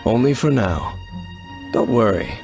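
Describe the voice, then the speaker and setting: A man playing a mysterious, stoic, world-weary wanderer in a video game, speaking in a measured, formal, solemn way. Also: fairly low pitch, big pitch swings, creaky, over music, exaggerated voice. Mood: solemn, reassuring. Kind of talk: English video game voice acting.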